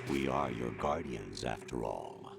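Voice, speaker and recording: An actor playing a cold, condescending artificial intelligence posing as a commanding officer, lecturing in measured, dramatic video game dialogue. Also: male voice, a bass voice, gravelly, very noisy, exaggerated voice. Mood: coldly superior. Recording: loud music under the voice.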